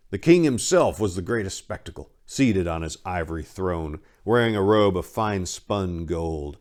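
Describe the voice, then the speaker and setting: A man reads a story aloud as its narrator. His voice sits on the low side, and he delivers the scripted prose as a dramatic reading. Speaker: author-narrator.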